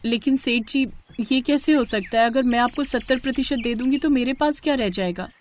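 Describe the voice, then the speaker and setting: A woman's voice: high-pitched, fairly quick, darkish tone, a little hiss or hum, natural voice. A woman voicing a poor, dutiful young food-cart seller in a moral story, earnest and respectful. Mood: worried pleading.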